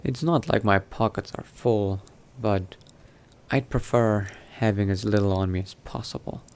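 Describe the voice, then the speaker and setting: A man playing a newly turned vampire in a game, thinking aloud in character in a musing, unhurried manner with trailing phrases. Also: lowish voice, steady tone, darkish tone, smooth. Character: newly turned vampire exploring new powers, musing adventurer. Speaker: roleplaying game player. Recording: noticeable background noise.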